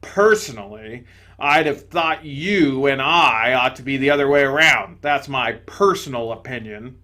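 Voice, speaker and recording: A man voicing a blustering, self-righteous big male ghost who talks loudly in rough, ungrammatical working-class speech, as part of a storybook reading. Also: medium pitch, unhurried. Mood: accusing.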